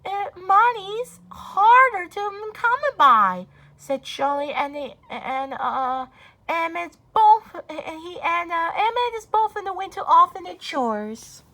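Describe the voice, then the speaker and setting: High-pitched woman reading a storybook haltingly. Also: big pitch swings, sharp tone. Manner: halting, stumbling over words. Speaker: storybook reader. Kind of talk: amateur read-aloud of a children's story.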